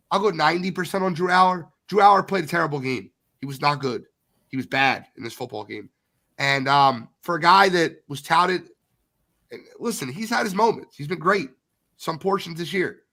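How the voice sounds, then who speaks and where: A man hosts a sports podcast with a bright, forceful tone. He's congested from a cold but still blunt and opinionated, calling things as he sees them. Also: fairly high pitch, gravelly. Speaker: podcast host.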